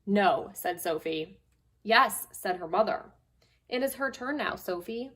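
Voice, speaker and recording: Woman teacher with mid-pitched voice. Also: expressive, measured pace, a bit bright. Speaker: teacher and guest story reader. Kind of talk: casual talk and picture-book read-aloud for children. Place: basement.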